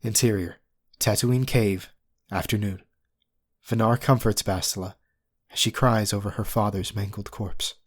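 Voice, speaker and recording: Low-voiced man narrating scene directions. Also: steady tone, a little slow. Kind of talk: scripted narration of screenplay directions. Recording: faint background noise and ambience.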